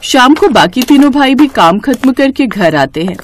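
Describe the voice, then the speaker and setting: A woman's voice: sits high, lively intonation, moderate pace, a little hiss or hum. A woman narrating a scripted story in storybook style.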